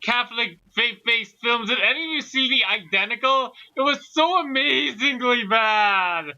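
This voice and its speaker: A man's voice: very high-pitched, normal speed, bright, forceful tone. A man chatting casually as a participant in a group, on a lo-fi recording. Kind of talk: casual chat.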